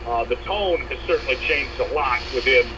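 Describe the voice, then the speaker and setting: A man's voice: mid-range voice, moderate pace. An American man doing unscripted sports talk, heard from a noisy AM radio speaker.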